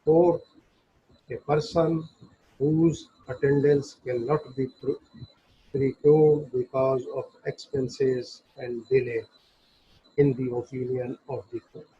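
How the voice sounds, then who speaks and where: A muffled, lo-fi recording carries a man teaching law in a mellow, husky voice. He moves very slowly and methodically, breaking his explanation into short, separate phrases. He switches between Hindi and English as he reads and explains. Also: mid-range voice, flat delivery. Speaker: law lecturer. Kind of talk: explanatory lecture, reading and explaining legal text.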